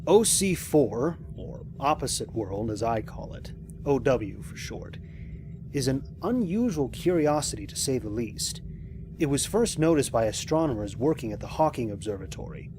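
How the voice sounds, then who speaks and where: A man playing a lone spaceship commander and scientist in an audio drama, recording mission logs in a measured, reflective, precise way. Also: medium pitch, big pitch swings, noisy background, lightly acted. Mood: weary, reflective. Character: lone male spaceship commander, thoughtful scientist, quietly amazed.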